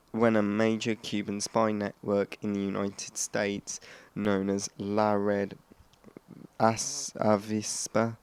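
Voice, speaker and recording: A man's voice: lowish voice, darkish tone, clear, resonant tone. A man reading scripted narration as a host, stumbling over and restarting phrases now and then. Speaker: host of a shortwave radio history channel.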